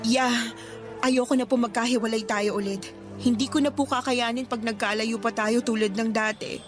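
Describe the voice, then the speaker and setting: An actress playing a devoted, affectionate foster daughter in a Tagalog radio drama, polite and emotional. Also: female voice, on the high side, steady tone, normal speed, lightly acted. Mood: pleading, loving. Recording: clear background music under the dialogue.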